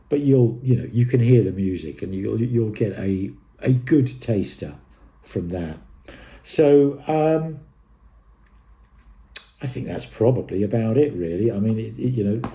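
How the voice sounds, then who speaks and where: A man's voice: lowish voice, dark tone. A man giving a casual, unscripted review to camera as a music and hi-fi reviewer, speaking in an enthusiastic, rambling, hesitant way.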